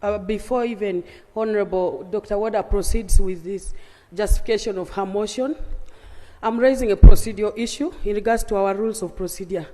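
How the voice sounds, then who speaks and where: Brisk legislator raising a point of order. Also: mid-range voice, mellow. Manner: brisk and persistent, with some restarts. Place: large legislative chamber. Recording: long reverb of a large chamber.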